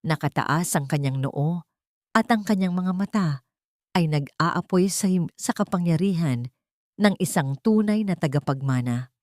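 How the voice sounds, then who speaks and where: Low-voiced woman narrating melodrama. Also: expressive, a little slow, darkish tone, no room sound, studio quality. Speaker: audio drama narrator. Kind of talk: dramatic scripted storytelling.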